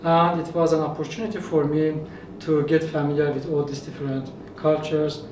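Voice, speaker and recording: A man from Iran whose first language is Azerbaijani Turkish, a professor answering interview questions in a measured way with frequent fillers. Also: on the high side, flat delivery, a little mellow. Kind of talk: conversational interview. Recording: echoey room, mic at a distance, faint noise.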